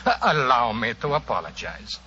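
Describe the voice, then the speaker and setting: An actor playing a courtly, effusive Italian police inspector with formal, hesitant phrasing, in 1940s radio drama style. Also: male voice, medium pitch, wildly swooping pitch, normal speed, bright, forceful tone. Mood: effusive, courteous. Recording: dull, hissy old radio recording.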